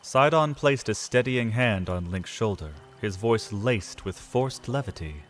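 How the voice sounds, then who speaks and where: A man narrating a scripted fantasy audio drama, solemn and measured, with deliberate pauses. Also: fairly low pitch, lively intonation.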